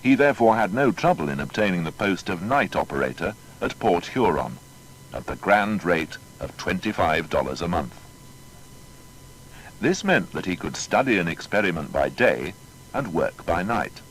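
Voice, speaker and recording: A man narrating a scripted dramatised biography in a formal, measured storytelling manner. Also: low-pitched, big pitch swings, a little hiss or hum, rough recording.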